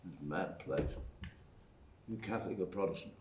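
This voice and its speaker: An actor reading an older widowed farmer at a live table read, calm and protective, speaking slowly and steadily, heard from far off in an echoey theatre. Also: male voice, low-pitched, noisy background, close to an everyday voice. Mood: gentle. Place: theatre stage.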